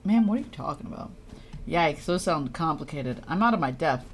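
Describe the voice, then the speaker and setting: Sarcastic, lower-pitched woman game streamer. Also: animated, fairly quick, slight background noise. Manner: sarcastic, blunt. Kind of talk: casual live game commentary.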